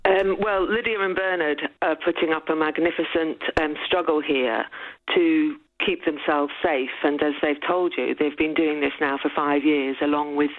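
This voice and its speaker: Measured woman on a tinny phone line. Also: deep voice, steady tone. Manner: articulate, measured argument. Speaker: human rights advocate. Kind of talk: live radio interview by phone. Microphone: telephone.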